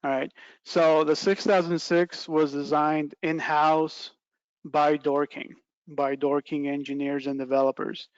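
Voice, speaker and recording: Middle aged guy doing a webinar product talk, clear smooth voice, explains as he goes, bit muffled call audio. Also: fairly high pitch, little pitch movement, measured pace, dry recording. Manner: explanatory, checks in with "right" and "okay". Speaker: product trainer presenting a webinar. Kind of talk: informal product presentation over slides. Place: small plain indoor room, video call.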